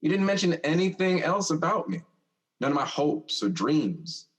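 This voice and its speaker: An actor playing a teasing, insistent young older brother in an audio drama, drawling and stammering at times. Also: male voice, a little high, sing-song, moderate pace. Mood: hurt, insistent. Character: teasing older brother, a restless young man who has died. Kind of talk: stage-style audio drama dialogue.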